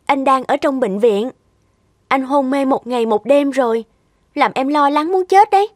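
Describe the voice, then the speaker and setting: An actress playing a caring, worried middle-aged wife in a cartoon, with a gentle, soothing manner. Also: female voice, high voice, moderate pace, no echo, studio quality, natural voice. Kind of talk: animated moral-tale cartoon dubbing.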